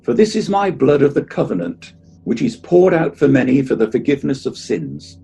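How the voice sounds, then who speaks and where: A man reading the part of Jesus as a grave, solemn teacher in a measured scripture reading, with a creaky, soft-edged voice. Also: medium pitch, very animated, faint music behind, heavily acted.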